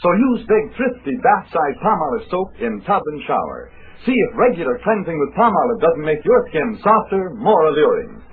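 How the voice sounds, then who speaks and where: Male ad announcer, 1940s radio, fairly high, rough-edged voice, phone-like broadcast sound. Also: very animated, moderate pace, few pauses. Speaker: commercial announcer. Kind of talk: scripted 1940s radio advertising. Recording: muffled, tinny 1940s radio recording.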